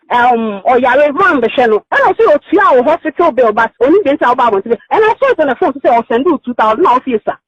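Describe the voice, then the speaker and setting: A high, very animated woman's voice on a muffled recorded phone call. She has a Ghanaian accent and talks insistently, circling back and rambling as she makes her case in a heated private conversation. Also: no echo. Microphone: telephone.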